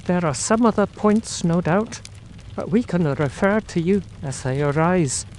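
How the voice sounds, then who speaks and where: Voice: medium pitch, expressive, unhurried, darkish tone, slight background noise, close to an everyday voice, rough recording. A performer playing a polite, probing police inspector in an audio drama, with formal and courteous questioning.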